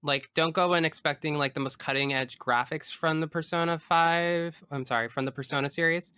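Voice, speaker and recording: Young female podcast guest: crisp, forward voice, emphatic and casual, enthusiast's delivery. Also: low voice, fairly even intonation, a little fast, sharp tone, dry recording. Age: young adult. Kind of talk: casual interview chat about video games.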